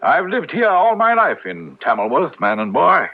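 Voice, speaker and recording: An actor playing a skeptical, solemn country villager in a radio drama, husky and emphatic. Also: male voice, a little high, wildly swooping pitch, moderate pace, heavily acted. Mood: firm, solemn. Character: skeptical, solemn lifelong villager, loyal old friend. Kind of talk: 1970s radio drama acting. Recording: dull old radio broadcast recording.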